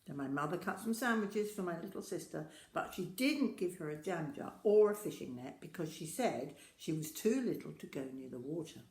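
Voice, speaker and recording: Older lady reading kids storybook, really animated up and down voice, echoey room. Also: on the low side. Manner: addresses young listeners directly, deliberate and expressive. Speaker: storyteller reading aloud to children. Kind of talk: children's storybook narration. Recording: roomy, echoing home-style recording.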